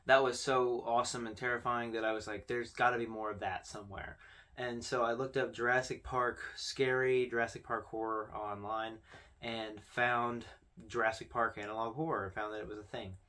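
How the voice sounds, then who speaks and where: A man's voice: medium pitch, flat delivery, normal speed, sharp tone. A young man hosting a video with casual, informal commentary, talking conversationally to camera. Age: young adult. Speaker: video host. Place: small indoor room with posters.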